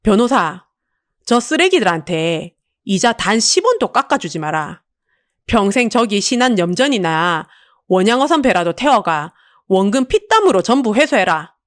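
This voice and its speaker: A gruff, commanding big man from an audiobook drama, acted by a woman, speaking in a Busan Gyeongsang accent. He is a blunt, imposing boss with a tender streak, recorded dry and close. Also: mid-range voice, very animated, normal speed, dry recording, natural voice. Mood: menacing fury. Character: burly gruff vengeful tycoon man, tender to his love.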